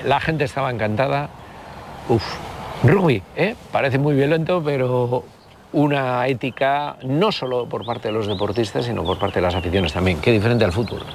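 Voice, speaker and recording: A middle-aged man from the Basque Country, talking in a reflective, relaxed way with frequent pauses and restarts, interviewed outdoors. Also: mid-range voice, creaky, background noise.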